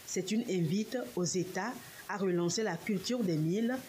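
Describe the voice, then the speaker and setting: A woman's voice: on the low side, normal speed, darkish tone. Woman reporter over hiss. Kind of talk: scripted news narration.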